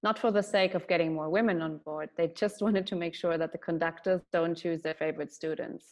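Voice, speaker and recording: A woman's voice: lowish voice, steady tone, a little fast, darkish tone. A woman from Germany giving an earnest, explanatory talk on bias and inclusion. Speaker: speaker on diversity and inclusion.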